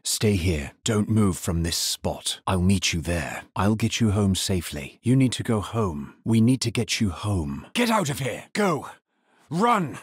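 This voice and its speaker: Deep, husky, grim necromancer. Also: male voice, no echo, studio quality, heavily acted. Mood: resolute, then urgent, forceful. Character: grim, dutiful male necromancer adventurer. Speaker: video game voice actor. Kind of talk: video game character voice lines.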